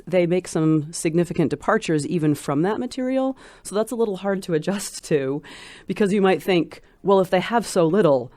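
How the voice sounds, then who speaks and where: A woman scholar giving considered commentary as an interview guest, speaking in an articulate, measured and emphatic way. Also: lowish voice, mellow, dry recording.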